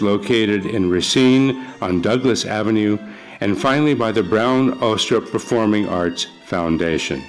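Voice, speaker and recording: A man's voice: medium pitch, rough recording. A man hosting a live radio theater broadcast, announcing and introducing to an audience. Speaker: host, producer of radio theater. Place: church before a live audience.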